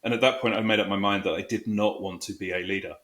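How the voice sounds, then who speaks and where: Middle-aged man, low-pitched, reflective, roomy echo, interview guest. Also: steady tone, fast talker. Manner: reflective, self-deprecating, loud voice. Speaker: guest, people and talent executive. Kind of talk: conversational interview.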